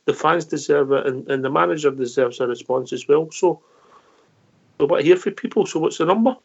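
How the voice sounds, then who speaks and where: A man's voice: on the high side, brisk, gravelly, slightly rough, highs cut off. A man hosting a fan phone-in show, chatting and bantering casually with callers. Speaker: host.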